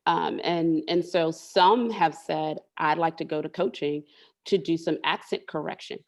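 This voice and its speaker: Low-voiced woman explaining earnestly. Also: measured pace, creaky. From Southern United States. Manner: earnest, explanatory, repeats words while thinking. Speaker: interview guest, tech leader and coach. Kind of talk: candid interview conversation.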